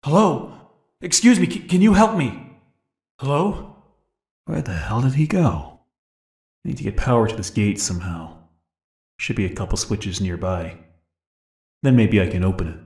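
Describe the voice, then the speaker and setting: A man's voice: sits low, expressive, normal speed, pauses often, darkish tone. An actor playing a weary, wary American man exploring a haunted cartoon studio in a video game, muttering his scripted lines in an understated way. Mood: anxious, calling out. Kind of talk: scripted game character dialogue. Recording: echoey game audio with long reverb.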